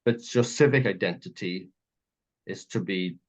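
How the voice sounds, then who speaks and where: A man's voice: sits low, measured pace. A man with a Welsh accent hosting a conversational political interview, talking emphatically and at length in piled-up clauses. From Wales. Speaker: radio interview host. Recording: dull, muffled lo-fi audio.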